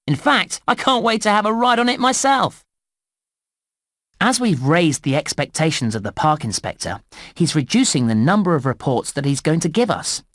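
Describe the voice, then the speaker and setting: An eager, helpful theme park guide for a children's computer game. His delivery is cheery and very animated, swooping up and down in pitch with clear enunciation. The recording is close and dry. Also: no room sound. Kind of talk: scripted children's game narration.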